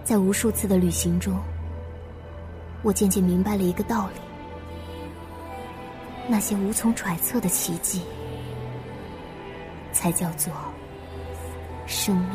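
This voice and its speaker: A pensive female traveller voiced by an actress shares a radio drama monologue. She speaks gently at a medium pitch, in measured phrases with pauses. Music is clearly heard underneath. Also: steady tone, close to an everyday voice. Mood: quiet, contemplative.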